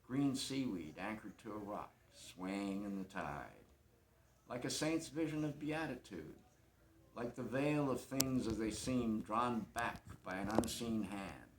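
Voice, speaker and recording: An actor playing a brooding, poetic, melancholy young man in a theatrical dramatic monologue, delivered with lyrical intensity. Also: on the low side. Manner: lyrical, intense, swelling then hushed. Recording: echoey room with faint background noise.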